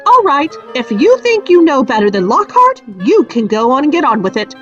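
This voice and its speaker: A woman's voice: sits high, sing-song, normal speed, muffled recording, soft background music, voice pushed up. A woman voicing a bustling mother witch in a storybook, fussing and bossy but fond. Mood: indignant.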